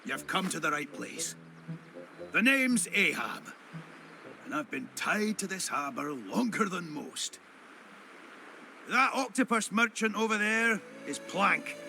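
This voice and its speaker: A man's voice: high-pitched, very animated, slow pace, vocal fry, pauses often, bright, forceful tone, slight background noise, heavily acted. An actor voicing a spirited, boastful old retired fisherman in a video game, with folksy old-salt talk. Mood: welcoming, matter-of-fact. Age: older. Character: spirited old retired fisherman, hearty whale-hunting sea dog. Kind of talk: video game character acting. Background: music.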